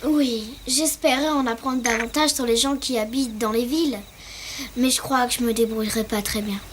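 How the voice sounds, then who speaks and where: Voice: medium pitch, fairly even intonation, moderate pace, background noise, natural voice. A performer voicing a young orphaned elephant in a French cartoon, childlike, polite, eager and a little anxious. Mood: hopeful. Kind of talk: French-dubbed cartoon acting. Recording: music behind the voice.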